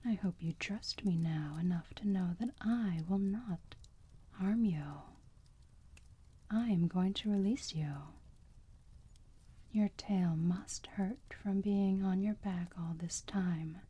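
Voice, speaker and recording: A woman playing a gentle, protective, motherly vampire in a whispered ASMR roleplay, speaking softly and soothingly in a breathy voice close to the mic. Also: mid-range voice. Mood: earnest, gentle. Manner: soft, slow, cooing, soothing.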